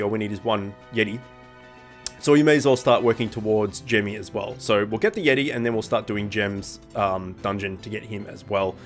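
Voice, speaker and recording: Stuffy-nosed young guy with a cold chatting over a game, lowish voice, lots of ums, soft music under it. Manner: rambling, many ums and self-corrections. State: congested with a cold, blocked nose. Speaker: gaming channel host. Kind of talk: casual gameplay commentary. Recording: faint music bed underneath.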